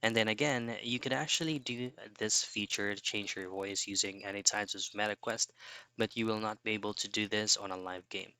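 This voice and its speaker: A man's voice: fairly low pitch, steady tone, fairly quick, pushed and bright, clean tone, no echo. A man presenting an instructional how-to voice-over, explaining things in a wordy, repetitive way. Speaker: tutorial presenter.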